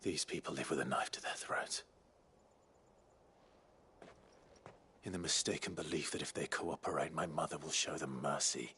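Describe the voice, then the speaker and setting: An actor playing a solemn, courteous and determined traveler in a fantasy video game, with a measured, earnest delivery. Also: male voice, sharp tone, slight background noise, exaggerated voice. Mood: grim, hushed. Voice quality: deep, low. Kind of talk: scripted video game acting.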